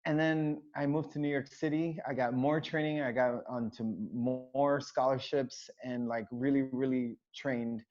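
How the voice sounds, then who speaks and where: A man's voice: medium pitch, fairly even intonation, measured pace, hardly any pauses, darkish tone. Rambling man with dull lo-fi sound. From United States, raised in Hawaii. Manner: rambling, many fillers and restarts. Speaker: choreographer and dancer, guest. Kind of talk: casual interview chat.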